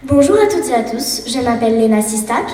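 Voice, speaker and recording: A woman's voice: on the high side, lively intonation, fairly quick, mellow. Far-off girl's speech in an echoey hall. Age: child of 11. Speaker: student speaker in a speech contest. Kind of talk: recited contest speech. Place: school hall.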